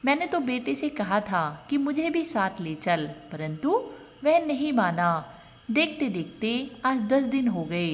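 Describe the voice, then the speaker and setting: A woman's voice: on the high side, sing-song, slow. A woman voicing a resigned, devout, abandoned old mother in a storybook tale, plaintive and wary. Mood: sorrowful, resigned. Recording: long reverb on the voice.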